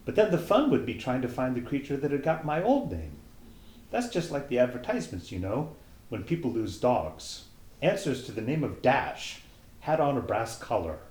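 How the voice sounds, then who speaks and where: A man's voice: mid-range voice, big pitch swings, moderate pace, a little mellow, roomy sound, pitched above the performer's own voice, close to an everyday voice. A man reading aloud as a curious young girl who rambles and muses to herself, timid when asking questions. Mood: musing.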